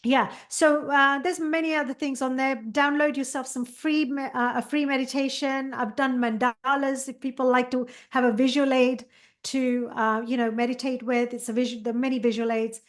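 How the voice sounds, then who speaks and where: A woman's voice: high-pitched, level tone, moderate pace. A woman who is an author and meditation coach, talking as a guest on a podcast in an enthusiastic, meandering way. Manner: enthusiastic, many fillers and restarts. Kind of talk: interview chat.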